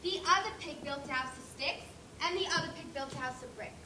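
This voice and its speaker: A female amateur actor playing an excitable, accusing pig juror on stage, with emphatic, theatrical storytelling. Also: high-pitched, a little fast. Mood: dramatic. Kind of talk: amateur school stage play. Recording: echoey room with clear noise.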